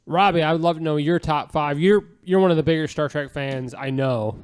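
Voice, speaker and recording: A man hosts a casual panel chat at a brisk clip. His voice sits a little high, and the sound is dry, with no room on it. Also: smooth, no echo. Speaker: podcast host.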